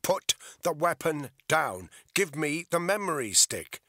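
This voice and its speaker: A man voicing a tough soldier who shouts calm, firm demands to talk someone down. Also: mid-range voice, slow, gravelly, no echo, clean studio sound, pitched above the performer's own voice, full character voice. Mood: firm shouting.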